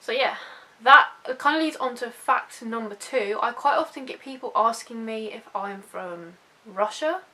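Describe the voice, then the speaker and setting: Young british woman doing a chatty youtube vlog, bright voice, rambly and informal. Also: a little high, unhurried. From United Kingdom. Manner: informal, rambling, self-deprecating. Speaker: YouTube vlogger. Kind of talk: casual personal chat to camera.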